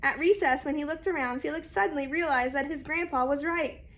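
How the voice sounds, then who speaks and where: A woman reading a children's picture book aloud expressively and deliberately, speaking straight to young listeners. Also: sits high, fairly bright tone. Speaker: read-aloud storyteller.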